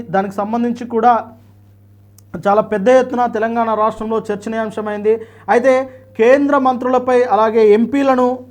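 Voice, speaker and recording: A man giving political news commentary as a presenter, explaining points emphatically and repetitively. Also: very high-pitched.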